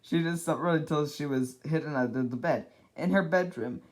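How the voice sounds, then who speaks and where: A person reading a children's storybook aloud in a deliberate, dramatic way, as an amateur narrator. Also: medium pitch.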